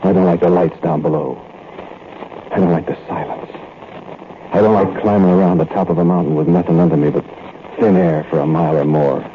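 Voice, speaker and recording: A very deep-voiced, uneasy thief, played by a man, tells of a night break-in in the confiding tone of old radio drama. The sound is thin and boxed-in, with steady hiss underneath. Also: fairly quick, natural voice. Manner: tense, confiding first-person storytelling. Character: nervous male thief narrating a night break-in. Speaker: narrator and lead character. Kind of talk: 1940s radio drama narration. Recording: tinny, noisy old radio recording.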